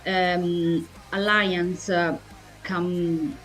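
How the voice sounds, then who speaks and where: Slow, halting woman interviewer. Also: on the low side, level tone, fairly bright tone, soft background music, poor audio. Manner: hesitant, stumbling delivery with searching pauses. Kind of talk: prepared interview questions.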